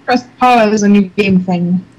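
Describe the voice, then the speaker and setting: A woman's voice: mid-range voice, slow, a little mellow, rough recording. A woman chatting casually as a co-host on a voice call, giving blunt, short replies. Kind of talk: casual chat.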